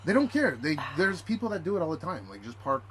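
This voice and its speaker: A man's voice: a little high, huge pitch swings, fast talker, fairly bright tone, low-quality recording. A man chatting casually in an easygoing, reassuring and informal way. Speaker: host of a visitor, guest on the stream. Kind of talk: casual chat.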